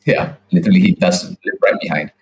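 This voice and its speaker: A man narrating a tabletop game as game master, improvising in a halting way. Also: low-pitched, animated.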